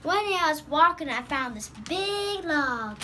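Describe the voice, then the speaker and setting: High-pitched, manic singing cartoon creature. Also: expressive, a little slow, background noise. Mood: storytelling. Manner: zany, singsong, bursting into wordless whooping.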